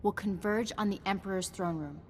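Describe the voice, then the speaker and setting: A woman voicing a calm, courteous female Jedi ally in a video game, precise and formal. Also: mid-range voice, a little fast, a little hiss or hum, lightly acted. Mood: composed. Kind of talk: video game cutscene voice acting. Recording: echoey hall, music behind.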